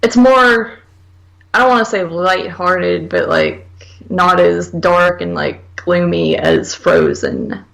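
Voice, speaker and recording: Creaky-voiced woman in casual podcast chat. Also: on the low side, very animated, slow, a bit bright, rough recording. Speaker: podcast co-host reviewing horror films. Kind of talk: casual conversational film discussion.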